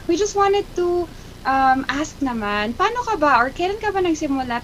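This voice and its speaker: A woman's voice: sits high, dull audio, a little hiss or hum. A woman with a Filipino accent hosting a morning show, chatting playfully in mixed Filipino and English as she interviews a guest.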